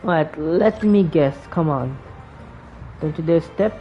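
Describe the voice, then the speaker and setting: A woman's voice: deep voice, takes it slowly. A woman giving casual gameplay commentary, thinking aloud and often repeating words and phrases. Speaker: gaming streamer. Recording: dull lo-fi mic over game music. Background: faint game music.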